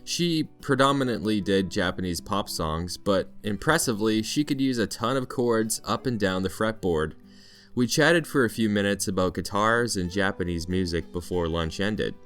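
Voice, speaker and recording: A man's voice: on the low side, moderate pace, a bit bright, faint music behind. A Canadian man narrating a scripted vlog in a playful storytelling way with mock-dramatic flourishes. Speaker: vlog narrator, teacher in Japan.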